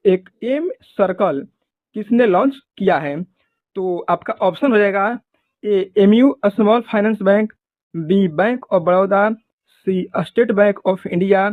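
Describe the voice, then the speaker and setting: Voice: mid-range voice, dry recording. A quiz presenter reading multiple-choice exam questions and answers in a formulaic, repetitive way. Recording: muffled phone-like audio.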